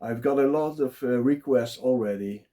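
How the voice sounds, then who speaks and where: A man with a Dutch accent, talking live and unprepared as a blogger, pausing often with filler sounds. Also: mid-range voice, mellow. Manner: halting, many filler sounds. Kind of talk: unscripted solo talk.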